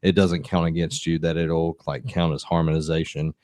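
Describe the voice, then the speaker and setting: A man's voice: a bass voice, little pitch movement, moderate pace, darkish tone, dry recording, clean studio sound. A man co-hosting a podcast, chatting casually about video games, trailing off and restarting his sentences. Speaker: podcast co-host.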